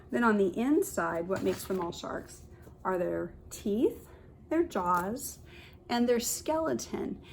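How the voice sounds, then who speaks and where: A woman kindergarten teacher reads a picture book aloud to young children, pausing to explain and point things out in simple words. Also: on the high side, slight background noise.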